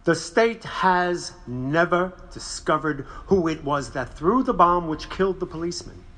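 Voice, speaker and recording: An actor playing an impassioned defense lawyer, giving an emphatic, deliberate courtroom speech in a theatrical style with a husky voice. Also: medium pitch, fairly bright tone, natural voice. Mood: grave, emphatic.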